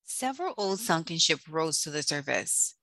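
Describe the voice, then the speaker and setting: Woman, children's story narrator, bright forward voice, slow deliberate pace, dry close sound. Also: deep voice, very animated, dry recording.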